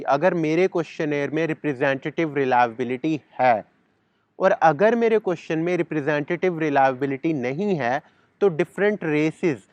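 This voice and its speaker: A man's voice: on the high side, no echo. A man, giving a sociology lecture and slipping English terms into his while repeating key words. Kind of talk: explanatory lecture. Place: outdoors in a garden.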